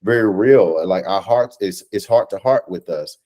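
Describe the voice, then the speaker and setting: An American man in his thirties speaking earnestly as an interview guest on a video call, halting and repeating phrases for emphasis. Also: fairly low pitch, mellow. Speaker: guest, stage play cast or crew member. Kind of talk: reflective conversational interview. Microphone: video call microphone.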